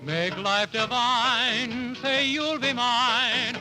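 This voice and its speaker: A man's voice: extremely high pitch, drawn-out words, low-quality recording. A man singing a romantic ballad on an old radio broadcast. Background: orchestral music.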